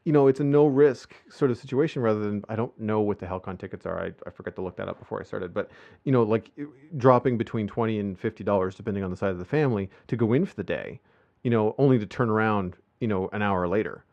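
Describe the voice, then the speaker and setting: A man's voice: lowish voice, fast, gravelly, hardly any pauses, darkish tone, highs cut off. A man hosting a casual podcast chat, rambling with many ums and uhs. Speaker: podcast host.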